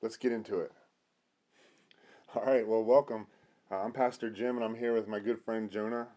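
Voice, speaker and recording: A middle-aged man, a pastor, hosting an informal conversational interview in a halting way. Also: lowish voice, steady tone, warm tone. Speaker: host, pastor.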